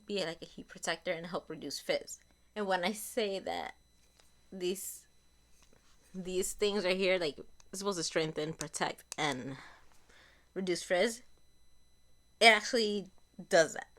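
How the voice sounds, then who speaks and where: A young woman with a fairly low voice, a bit raspy from a lingering cold. She chats casually through her used-up beauty products, often repeating and correcting herself as she goes. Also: a bit bright. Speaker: beauty vlogger.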